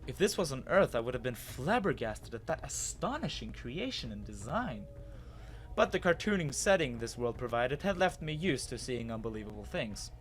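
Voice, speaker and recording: Man, medium pitch, expressive first-person storytelling, dramatic reading style, background music. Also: moderate pace. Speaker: narrator.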